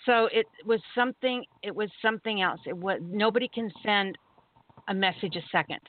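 Animated chatty woman calling in, tinny phone sound. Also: medium pitch, brisk, crowd noise. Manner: chatty, animated storyteller who laughs often. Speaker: caller sharing a story. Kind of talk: casual call-in chat. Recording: tinny phone line with faint noise.

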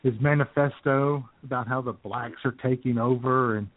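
A man's voice: medium pitch, fairly even intonation, normal speed, no echo. Guy cohosting net radio, sarcastic jokey chat, thin phone-like sound, very dry. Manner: sarcastic, joking. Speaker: internet radio co-host. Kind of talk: casual comic banter about the news.

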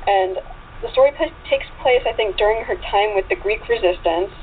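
A woman's voice: mid-range voice, fairly quick. Chatty woman guest on a phone line. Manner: chatty, excitable, informal. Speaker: interview guest, actress and writer. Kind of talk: casual chat. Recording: tinny phone line with faint noise.

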